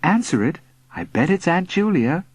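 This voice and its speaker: A man's voice: on the high side, very animated, moderate pace, breathy edge, dry recording, voice pushed up. A man voicing a mild, concerned mother with a tentative way of asking, in a narrated story. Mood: encouraging.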